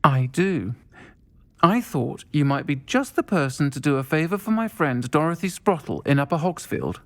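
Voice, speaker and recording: A performer playing a kindly, composed woman who runs a pub in a video game, speaking in a measured, caring way. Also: deep voice, sing-song. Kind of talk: video game dialogue acting.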